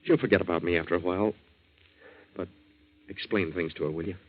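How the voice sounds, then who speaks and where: A man's voice: deep voice, steady tone, brisk. An actor playing a blunt, slangy, hot-headed young waterfront man with a decent heart in a 1940s radio drama. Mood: resigned, earnest. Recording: dull 1940s radio recording.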